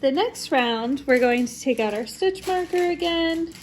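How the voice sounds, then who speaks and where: A woman's voice: sits high, expressive, a little slow, slight background noise. A young woman with a congested voice, chatting her way through a crochet tutorial at home with lots of likes and ums. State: congested from a recent illness. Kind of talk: casual step-by-step craft tutorial. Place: home, at a table.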